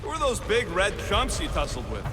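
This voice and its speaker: An actor playing a small, short-tempered raccoon mercenary in a video game, snappish, slangy and sarcastic. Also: male voice, high-pitched, wildly swooping pitch, brisk, very noisy. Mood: sarcastic, grumbling. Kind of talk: video game cutscene acting. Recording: loud music, long hall reverb.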